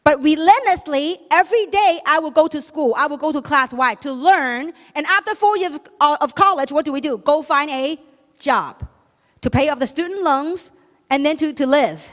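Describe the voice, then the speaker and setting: A woman's voice: high voice, lively intonation, normal speed, a bit bright. A woman with a Chinese accent gives a motivational business seminar talk, emphatically posing questions and answering them herself. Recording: echoey hall, long reverb.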